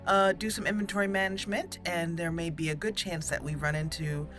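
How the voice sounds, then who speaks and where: A woman's voice: on the low side, steady tone, a little fast. A woman giving casual, chatty roleplay commentary on a video game, playfully thinking aloud. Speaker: gaming video host. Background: game music.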